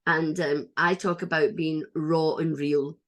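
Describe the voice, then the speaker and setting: A Scottish woman hosting an interview podcast, with a slightly low voice. She talks in a chatty, earnest way and often restarts her sentences. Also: little pitch movement, unhurried. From Scotland. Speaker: podcast host. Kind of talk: casual interview chat.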